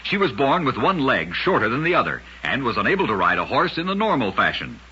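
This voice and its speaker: On a muffled old radio recording, a man narrates short scripted tales with a very forceful, bright edge and a distinctly rough, husky texture. He delivers them as an emphatic, dramatic storyteller. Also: medium pitch, very animated, a little fast. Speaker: narrator and host of a radio oddities program.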